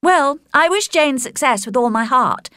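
A woman voicing a sensible, pragmatic young gentlewoman in a storybook, speaking with earnest assurance. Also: high-pitched, expressive, moderate pace, clear, resonant tone, dry recording, studio quality, voice pushed up. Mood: brisk, assured.

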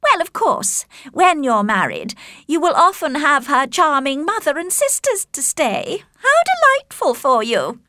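A woman's voice: high voice, big pitch swings, unhurried, bright, forceful tone, dry recording, clean studio sound, pitched above the performer's own voice, heavily acted. A woman voicing a haughty young society lady in a storybook, with sneering, exaggerated sweetness. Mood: mocking.

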